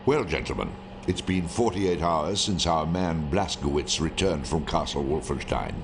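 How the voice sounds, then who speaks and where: Deep, commanding wartime intelligence chief. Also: male voice, animated, noisy background. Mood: grave, measured. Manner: authoritative, deliberate, questioning. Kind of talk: scripted military briefing in a video game.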